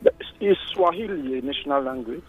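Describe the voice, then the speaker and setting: A man's voice: medium pitch, expressive, fairly quick, narrow, tinny audio. A man from Cameroon calling a radio show, playful and mixing in French. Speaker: caller. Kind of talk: casual phone-in chat.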